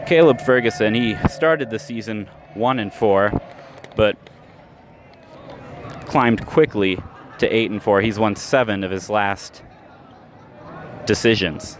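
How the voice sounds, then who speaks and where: A lower-voiced man calls a baseball game pitch by pitch, weaving stats into a steady, measured radio delivery. He was recorded live at an outdoor ballpark, and the open-air ambience and traffic come through clearly behind him. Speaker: play-by-play announcer. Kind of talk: baseball radio play-by-play commentary.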